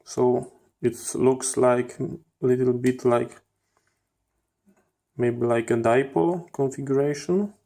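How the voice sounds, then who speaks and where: A man giving hands-on teardown commentary as a channel host, in halting phrases with repeated words. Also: mid-range voice, steady tone, gravelly, mellow. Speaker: host of a radio electronics channel.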